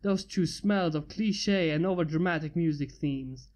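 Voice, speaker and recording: A woman narrating fanfiction in a plain, steady amateur reading. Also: sits low, moderate pace. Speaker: fanfiction reader.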